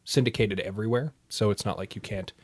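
A man's voice: fairly low pitch, fast talker. Man co-hosting a casual chat. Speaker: podcast co-host.

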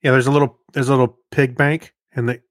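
A man's voice: medium pitch, level tone, no echo, studio quality. A man co-hosting a podcast, riffing casually on the news in a joking, easily amused way. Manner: jokey, quick to laugh. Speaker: podcast co-host.

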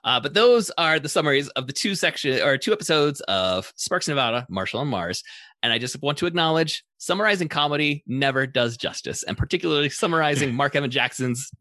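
A man hosting a podcast, giving a conversational recap and commentary in an animated, enthusiastic way. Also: on the high side, brisk, no room sound, studio quality. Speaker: podcast host summarizing episodes.

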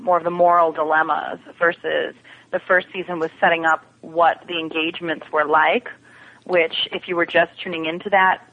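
A woman giving thoughtful, casual analysis as a podcast commentator over a narrow phone connection. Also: low voice. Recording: tinny narrow phone line.

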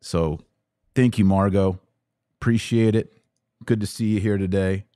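A middle-aged American man with a deep voice talks as a livestream host answering his audience. His delivery is casual yet emphatic and opinionated, built on short phrases that he likes to repeat. The sound is dry and close, with very little room around it. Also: fairly even intonation, unhurried, mellow, clear, resonant tone, no room sound. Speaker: podcast host taking live audience questions. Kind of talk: casual livestream monologue, reading and answering comments.

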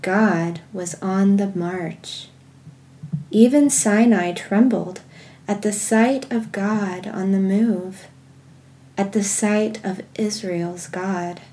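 A woman leading guided contemplative prayer and reading scripture in a measured, meditative manner with pauses. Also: medium pitch, mellow. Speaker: guided prayer podcast host.

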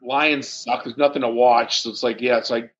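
A man's voice: medium pitch, fairly even intonation, normal speed, a bit bright, breathy edge, dull audio. An American man co-hosting a casual tech talk show in a blunt, sardonic, offhand manner, swearing casually. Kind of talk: casual chat.

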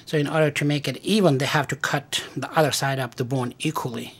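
Afghan-accented man, halting and careful. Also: mid-range voice, steady tone, a bit bright, breathy edge. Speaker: guest, cancer researcher. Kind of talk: radio interview.

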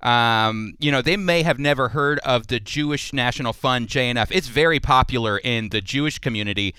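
A man's voice: mid-range voice, moderate pace, bright, forceful tone, smooth, no echo. A man hosting a radio interview program as its presenter and teacher. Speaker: radio host and Bible teacher.

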